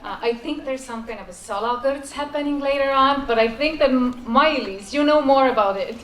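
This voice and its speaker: A woman with a loud voice speaking at a festival over a stage microphone, emotional and grateful, chatty with fillers. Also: high-pitched, a little fast. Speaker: event speaker. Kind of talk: public address at a festival. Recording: echoey PA sound with loud crowd. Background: crowd and loud noise.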